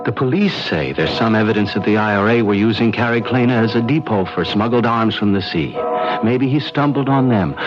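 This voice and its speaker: An actor playing a gentle, reflective writer, musing gravely in a radio mystery drama. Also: male voice, lowish voice, close to an everyday voice. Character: reflective Irish male writer, gentle husband seeking peace. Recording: dull old radio, loud music behind.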